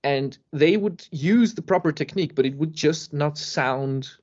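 A man explaining things casually as a podcast guest, with emphasis and pauses to find his words. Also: a little high, a little mellow. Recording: dull lo-fi podcast audio.

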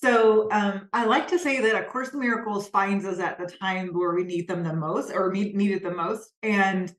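A woman's voice: medium pitch, moderate pace, roomy sound. A woman speaking as a podcast guest, earnest and reflective, often searching for her words. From Denmark. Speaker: guest, spiritual business coach. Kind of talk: conversational interview.

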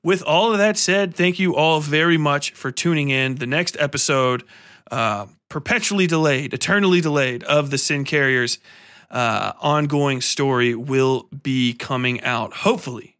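A man's voice: on the high side, normal speed, a bit bright, dry recording, studio quality. A man hosting a podcast, speaking casually and irreverently in a loose, rambling, sweary style. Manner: irreverent, profane, informal. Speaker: podcast host.

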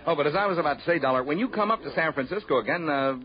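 A man's voice: a little high, lively intonation, rapid-fire, lightly acted. An actor playing a genial, chatty stockbroker in a 1960s radio drama, affable and a little hesitant as he opens. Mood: chatty, friendly. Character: genial, chatty male stockbroker and weekend guest. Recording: dull old radio recording, background chatter.

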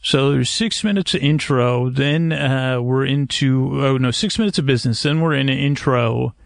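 A man hosting a sleep podcast in a halting, digressive, meandering monologue, often interrupting himself and trailing off, with a gravelly creak to his voice. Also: medium pitch, no echo, studio quality. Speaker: podcast host. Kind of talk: rambling, meandering bedtime monologue to help listeners sleep.

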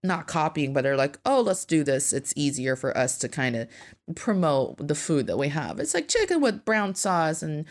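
A woman's voice: low voice, very animated, normal speed. A woman from northeast China chatting casually as a podcast co-host. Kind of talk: casual conversational podcast chat.

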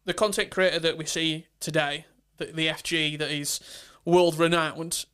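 A man with a high, light voice co-hosts a casual football podcast. He sounds upbeat and keen, talking up his guest. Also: steady tone, normal speed, bright, forceful tone, smooth. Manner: upbeat, introduces guest with hype. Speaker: podcast co-host.

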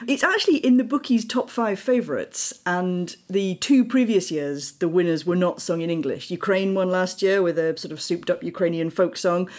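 A woman's voice: fairly low pitch, normal speed, few pauses, a little mellow. A woman speaking as an editor on a podcast panel, explaining news in a conversational way.

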